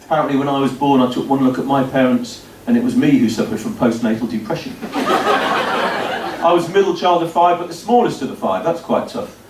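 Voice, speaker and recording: A man's voice: mid-range voice, brisk, heavy background noise. A man doing a stand-up comedy set in a club, telling dry, self-deprecating stories with punchy asides. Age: 50s. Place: comedy club stage before a laughing audience. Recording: roomy live venue recording from a distance.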